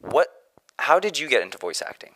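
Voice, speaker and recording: A man's voice: medium pitch, sing-song, a little fast, fairly bright tone, a little hiss or hum. A man interviewing a guest, asking short questions and giving encouraging prompts.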